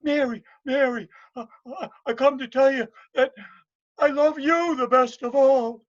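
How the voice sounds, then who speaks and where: A simple-hearted young village lad from a folktale, acted out by a male storyteller. He is emotional and naive, stumbling and stammering over his words. The pitch tends to jump above a plain talking voice, over a slightly dull lo-fi recording. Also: steady tone, measured pace. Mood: excited.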